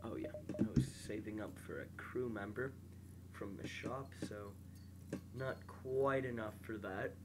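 A young man with a slightly nasal, congested voice, calmly commentating a space game and reading its text aloud while muttering to himself. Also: fairly low pitch, measured pace, heavy background noise. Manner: relaxed, mumbles quietly to himself while playing. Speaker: gameplay host.

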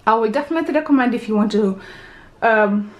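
A woman's voice: fairly high pitch, fast talker. A woman in her thirties casually narrating a tour of her house, chatty and often correcting herself as she walks through the rooms. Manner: chatty, self-correcting, walks while filming. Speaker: vlogger giving a house tour. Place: bathroom and bedroom of a house, indoors. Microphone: handheld camera.

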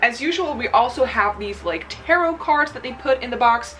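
A woman's voice: fairly high pitch, moderate pace, faint music behind. Enthusiastic young Dutch-accented woman vlogger. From Netherlands. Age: 20s. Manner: enthusiastic, expressive. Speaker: book reviewer, vlogger. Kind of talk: casual book haul vlog. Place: small cosy bedroom, indoors.

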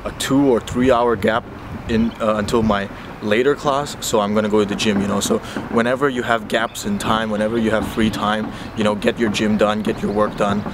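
A man's voice: fairly low pitch, flat delivery, a little fast, noisy background. A young man, a university student, chatting casually to a handheld phone camera as he vlogs his day, giving advice with lots of fillers. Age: young adult. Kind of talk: casual vlog talk to camera. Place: restaurant, campus outdoors and gym. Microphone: handheld phone camera at arm's length.

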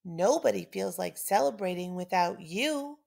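A fussy, bossy male pigeon from a storybook , put on by a woman. He is anxious and urgent, pushing each point with big swings in pitch. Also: lively intonation, measured pace, vocal fry, darkish tone, heavily acted. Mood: pleading. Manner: urgent, emphatic, pushy.

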